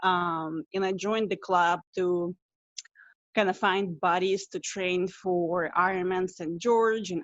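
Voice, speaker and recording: A woman's voice: fairly low pitch, dry recording. A woman from Russia with an Eastern European accent, apologetic and laughing as she introduces herself from her phone on a video call. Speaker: club member. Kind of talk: casual self-introduction. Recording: dull, dry phone audio.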